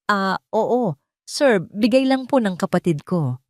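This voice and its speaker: A woman's voice: medium pitch, animated, takes it slowly, no echo. Audio story role: poor woman caught out, fearful, pleading, humble, very dry sound, voiced by a female narrator. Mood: nervous. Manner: trembling, pleading, deferential. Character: frightened, guilty poor woman, sobbing and pleading.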